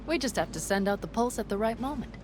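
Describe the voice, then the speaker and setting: An actress playing a tough, self-assured nomad mercenary in a video game, brisk, confident and plain-spoken. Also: female voice, on the high side, expressive, close to an everyday voice. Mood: focused. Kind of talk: video game dialogue acting. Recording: vehicle and outdoor noise behind.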